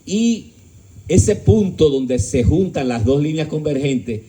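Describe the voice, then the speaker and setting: Dark-voiced man lecturing emphatically. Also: lively intonation, takes it slowly. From Dominican Republic. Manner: rhetorical questions to the audience, joking asides; emphatic, shifting pitch and volume. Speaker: speaker at a discussion gathering. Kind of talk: philosophical lecture to an audience.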